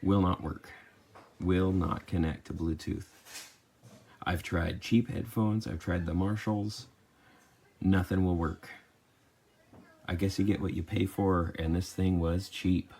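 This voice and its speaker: Slow, low-voiced man grumbling through a review. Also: steady tone, darkish tone. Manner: exasperated, grumbling, halting. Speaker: customer reviewing a gadget. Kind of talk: casual spoken product review. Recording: clearly noisy with clicks.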